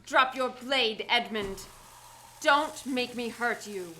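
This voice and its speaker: An actress playing a poised, bold socialite and masked heroine in a radio drama, composed and a little arch. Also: female voice, fairly high pitch, slow pace, noisy background. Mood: stern, commanding. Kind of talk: 1940s-style radio drama acting.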